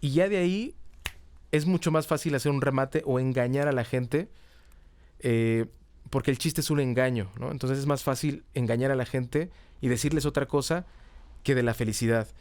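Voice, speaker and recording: A middle-aged Mexican man with a mid-pitched voice talks as a podcast guest. He explains in a conversational way and often checks in with short tag questions. The sound is dry, with little room in it. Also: moderate pace, darkish tone, no room sound. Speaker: podcast guest, comedy teacher and writer. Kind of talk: casual conversational interview answers.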